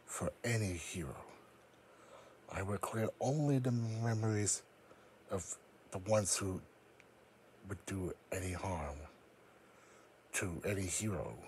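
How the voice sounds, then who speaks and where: Man acting out a solemn powerful psychic creature, low voice, very slow, formal, protective, fan audio drama. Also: slight background noise. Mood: resolute. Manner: grave, deliberate, formal.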